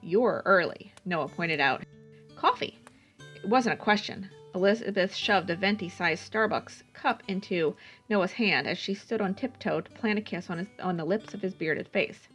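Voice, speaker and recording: A woman's voice: medium pitch, moderate pace, fairly bright tone, soft background music. A woman reading a story aloud as a scripted audiobook-style narration. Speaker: guest story reader.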